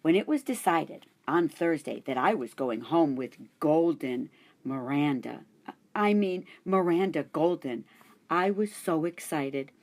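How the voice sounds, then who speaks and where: A woman reading a children's chapter book aloud, narrating in first person with an expressive storytelling voice. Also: low voice, a little slow. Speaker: storybook reader.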